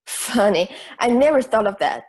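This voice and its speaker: A young woman acting a logical, self-assured juror in an audio drama. The voice is fairly high and the delivery measured and articulate, open to reason. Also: sing-song, darkish tone, breathy edge, rough recording. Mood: casual, reflective. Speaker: student actor in school drama class.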